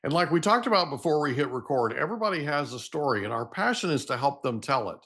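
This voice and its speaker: A man's voice: on the high side, animated, fast, fairly bright tone. A man hosting a podcast interview, asking questions and giving friendly, short replies. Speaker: podcast host.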